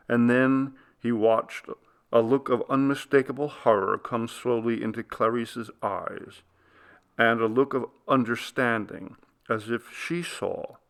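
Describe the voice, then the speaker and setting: A man reading a literary ghost story as a solemn, deliberate, dramatic audiobook narrator. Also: mid-range voice, gravelly, a bit bright. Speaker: audiobook reader.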